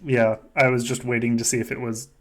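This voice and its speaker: A man's voice: mid-range voice, level tone, brisk. A man hosting a podcast game in casual, joking chat, swearing freely as he goes. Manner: sweary, jokey. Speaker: podcast host running a fan survivor game.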